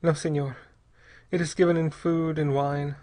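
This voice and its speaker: A man's voice: on the high side, flat delivery, dark tone, voice pushed up, lightly acted. A man voicing a desperate young mother who pleads and chokes on her words. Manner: pleading, halting, choking on words. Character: desperate young mother, Spanish-speaking woman, panicked.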